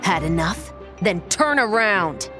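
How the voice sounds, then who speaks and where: A woman's voice: medium pitch, animated, slow. A woman voicing a cocky, taunting, playfully relentless fighter in a video game. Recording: music behind the voice. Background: game music.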